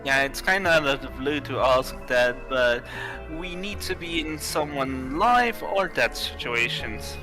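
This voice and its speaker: Game streamer narrating a visual novel, takes it real slow, music going behind. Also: medium pitch, lively intonation. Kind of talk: livestream game narration, read aloud.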